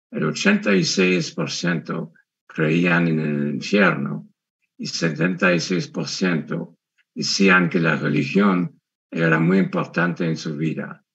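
A man's voice: deep voice, gravelly, a bit bright, breathy edge. An older man, a retired historian whose first language is French, presenting his book in careful Spanish over a video call. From Puerto Rico, raised in Quebec, Canada. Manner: deliberate, careful non-native Spanish with restarts. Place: room indoors. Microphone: video call webcam. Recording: muffled video-call audio.

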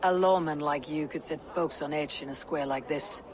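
An actress playing a stoic, blunt Viking warrior woman in a video game, measured and resolute. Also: deep voice, a little mellow, a little hiss or hum, close to an everyday voice. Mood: matter-of-fact. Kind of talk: video game cutscene acting.

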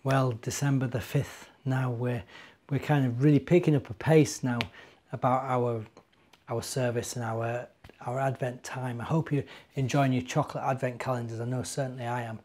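A man's voice: mid-range voice, fairly even intonation, normal speed, a little mellow. A British man in his forties, a minister, chatting simply to young children as a storyteller and asking them questions. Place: living room indoors.

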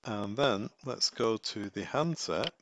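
A man giving a casual step-by-step software tutorial, thinking aloud as he works. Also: on the low side, expressive, slow pace, slight background noise.

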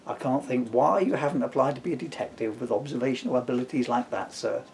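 A man's voice: medium pitch, fast, a little mellow, slight background noise, natural voice. A man voicing a polite, sarcastic police officer from a comic storybook, with a formal, dry manner. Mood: dryly sarcastic.

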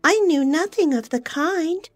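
A woman's voice: extremely high pitch, animated, a little slow, soft background music, pitched above the performer's own voice. A woman voicing a small, timid female wren who speaks meekly and pleadingly. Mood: humble. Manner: meek and pleading.

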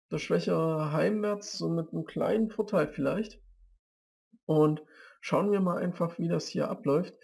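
A man's voice: fairly high pitch, gravelly, darkish tone, breathy edge. A man giving chatty, rambling match-day commentary on an online football manager league, stumbling over team names.

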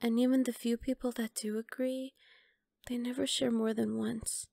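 Woman acting a high-voiced, teasing vampire girl. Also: little pitch movement, moderate pace, vocal fry, dark tone, dry recording. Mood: earnest, gentle. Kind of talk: ASMR roleplay.

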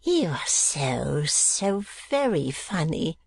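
A man voicing an anxious, sly old miser for a storybook, croaking and cracked, faltering and wheedling as he repeats himself. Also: a little high, sing-song, slow, dry recording, full character voice. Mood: nervous, cowed. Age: older. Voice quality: croaking, wheezy cackle, husky and cracked.

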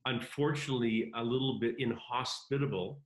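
A man's voice: medium pitch, fairly even intonation, a little fast. A man speaking frankly and conversationally in an interview clip over a video call. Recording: roomy video-call audio.

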